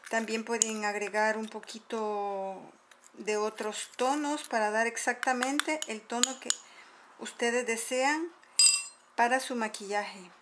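A middle-aged woman calmly explaining, step by step, how she mixes powders at a table at home, filmed by a camera above the table. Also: a little high, slow pace, noisy background. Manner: patient step-by-step explaining while mixing powders. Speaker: makeup tutorial host. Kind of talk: casual how-to demonstration. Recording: home video with clinking dishes. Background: clinking spoons and bowls.

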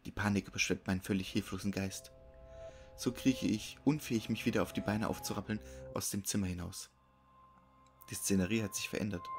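A man's voice: on the low side, fairly even intonation, a little fast. A man giving a scripted, dramatic narration of a horror story. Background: music behind the voice.

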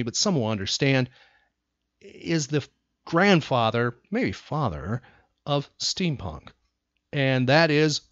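A man hosting a podcast in casual chat, rambling and pausing for emphasis. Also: mid-range voice, dry recording, highs cut off. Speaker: podcast host.

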